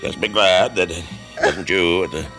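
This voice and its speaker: Low-voiced, reassuring doctor. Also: male voice, pushed and bright, faint music behind. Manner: hesitant, unhurried reassurance. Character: calm, folksy, reassuring male family doctor. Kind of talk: old-time radio mystery acting.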